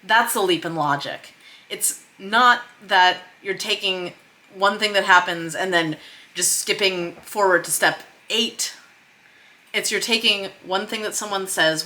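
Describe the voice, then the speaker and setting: A woman hosting a casual video log as a screenwriter, explaining her craft in an animated way with bits of script read out. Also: mid-range voice.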